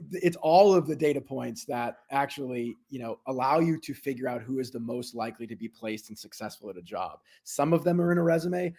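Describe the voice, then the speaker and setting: Gravelly, husky male executive explaining informally. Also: mid-range voice, a little mellow. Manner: informal explainer, checks in with "right?". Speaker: software company executive, interview guest. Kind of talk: conversational explanation.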